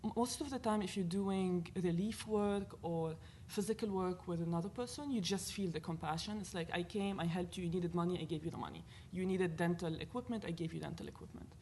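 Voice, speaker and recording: A woman from Lebanon with a Lebanese accent, speaking as a panelist at a university event in an earnest, animated way, thinking aloud with fillers. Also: fairly low pitch, a little fast, few pauses, a little hiss or hum. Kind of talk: panel discussion talk.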